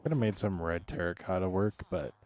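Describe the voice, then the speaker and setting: A very low-pitched man talks through his game build on a livestream. His voice is hoarse and dry, and he rambles in a relaxed way, ending thoughts with loose fillers. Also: brisk. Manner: laid-back, rambling with trailing "so" and "yeah". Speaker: streamer. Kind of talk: casual livestream chat while building in a game.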